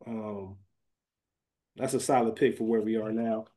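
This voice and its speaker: A man's voice: on the low side, fairly even intonation, unhurried, dark tone. Informal male podcast co-host, slangy. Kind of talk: casual chat, fantasy draft banter.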